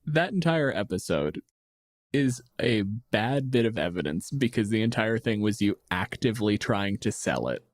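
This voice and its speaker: Blunt, sardonic man from Canada, lowish voice. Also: very animated, normal speed, no echo, clean studio sound. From Windsor, Ontario, Canada. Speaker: podcast co-host. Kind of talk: casual chat about tabletop gaming.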